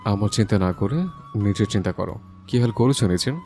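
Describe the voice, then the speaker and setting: A low-voiced young husband, played by a woman narrator, gruff and scolding in a romance audio story. He fires off curt, exasperated lines at a quick pace, with faint music behind. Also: dark tone.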